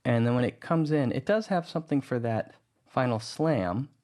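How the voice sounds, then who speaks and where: A man narrating a music-editing tutorial in a relaxed, conversational way. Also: mid-range voice, moderate pace. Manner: relaxed, conversational, thinking aloud.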